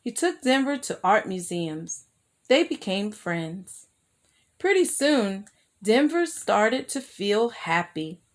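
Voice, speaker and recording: A woman narrates a children's story as a read-aloud storyteller. Her voice sits at a medium pitch, and her delivery is expressive, speaking directly to young listeners. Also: takes it slowly.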